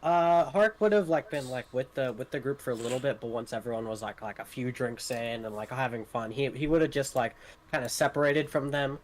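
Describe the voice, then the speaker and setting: Male tabletop player, mid pitch, casual, tentative, halting third-person description. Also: a little hiss or hum.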